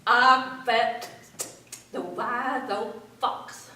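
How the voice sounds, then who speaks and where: A woman's voice: a little high, fairly even intonation, extremely slow, bright, forceful tone, a little hiss or hum, heavily acted. A woman doing a buck-toothed squirrel. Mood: doubtful. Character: nut-cracking male squirrel with big teeth. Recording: echoey room from far off.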